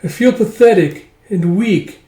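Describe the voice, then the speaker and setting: A tough little girl, put on by a man reading a comic speaks with bitter determination. The voice is lifted in pitch and insistent, in an echoey room. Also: lively intonation, unhurried, warm tone. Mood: bitter defiance. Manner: defiant, insistent. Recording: roomy, echoing sound.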